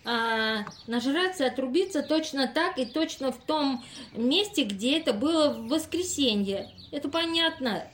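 A woman's voice: high-pitched, lively intonation, moderate pace. A woman playing an impatient, businesslike experimenter in an amateur audio play, giving instructions with exasperation. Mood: brisk, impatient.

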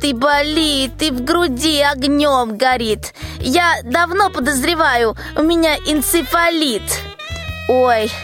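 A woman's voice: sits high, fairly bright tone. A female performer playing a sly, ailing old witch who grumbles in folksy rhyme in a radio fairy tale. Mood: complaining. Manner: folksy, rhyming, grumbling speech.

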